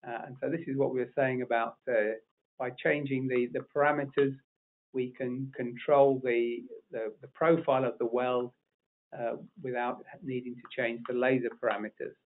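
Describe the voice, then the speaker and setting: A man's voice: medium pitch, little pitch movement, moderate pace. A man presenting a technical webinar, talking off the cuff with many fillers and restarts. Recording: narrow phone-like audio.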